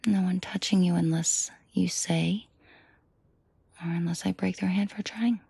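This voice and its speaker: A woman playing a commanding, protective and seductive mafia boss in an intimate audio roleplay, speaking in a breathy, controlled murmur that often drops to a half-whisper. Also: lowish voice, fairly even intonation, dark tone, dry recording, heavily acted. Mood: calm, reassuring. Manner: slow, deliberate, controlled murmur addressed closely to listener.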